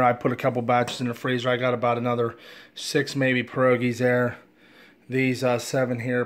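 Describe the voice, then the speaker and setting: A man's voice: medium pitch, a bit bright. A man in his forties hosting a casual home cooking demonstration in his kitchen, talking in an easygoing, rambling, self-deprecating way. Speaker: home cooking channel host. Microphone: handheld camera filming his hands.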